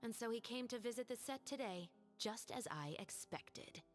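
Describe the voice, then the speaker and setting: An actress playing a composed, principled young female police captain who speaks in a measured, formal way in a video game. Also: on the high side, animated, big-room sound, soft background music, lightly acted. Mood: assured. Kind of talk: scripted video game voice acting.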